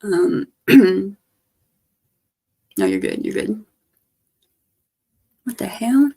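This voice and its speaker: A hoarse woman with a gravelly edge, talking at a middling pitch. She rambles casually to her stream audience, letting her sentences trail off softly. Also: huge pitch swings, extremely slow. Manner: rambling, trailing off, soft-spoken. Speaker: livestreamer. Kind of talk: casual livestream chat.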